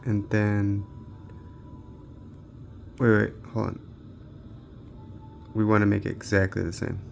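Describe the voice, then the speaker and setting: Low, gravelly man thinking aloud. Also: flat delivery, slow, faint music behind. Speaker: handheld gaming reviewer. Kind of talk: casual off-the-cuff gameplay testing commentary.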